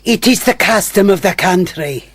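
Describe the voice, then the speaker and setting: An actress playing a scheming, seductive señora in a radio drama, with a coquettish, theatrical manner. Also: female voice, on the low side, expressive, normal speed, fairly bright tone, dry recording. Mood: coy, seductive. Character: seductive, scheming, theatrical Latin American judge's wife.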